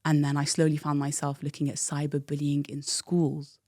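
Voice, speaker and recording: A woman's voice: low voice, monotone, normal speed, a little mellow, dry recording, studio quality. A woman in her thirties from the UK, a guest telling her life story frankly and reflectively, with frequent fillers. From United Kingdom. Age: 30s. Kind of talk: candid personal interview.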